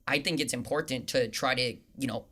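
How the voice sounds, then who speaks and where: A man hosting a podcast, chatting in a relaxed way that leans on "you know". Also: medium pitch, brisk. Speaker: podcast host. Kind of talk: casual podcast chat.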